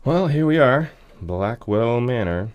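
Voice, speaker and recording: An actor playing a cautious, put-upon son of a rich family in an audio drama, placating and exasperated in manner. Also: male voice, mid-range voice, lively intonation, slow, a little mellow, a little hiss or hum. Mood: polite.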